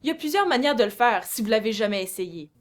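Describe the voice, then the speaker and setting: Bright, lively young woman. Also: a little high, fast talker. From Manitoba, Canada. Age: young adult. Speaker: youth project member. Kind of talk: scripted sketch delivery.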